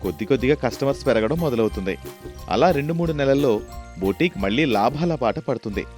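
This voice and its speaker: A man's voice: mid-range voice, animated, fairly quick, a little mellow, faint music behind. A man narrating a scripted story as a storyteller.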